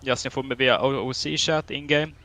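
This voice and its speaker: A man's voice: mid-range voice, fairly even intonation, fairly quick, pushed and bright. A young man with a Swedish accent running a roleplay police training, stern and drill-like with dry humor. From Sweden. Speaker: training officer.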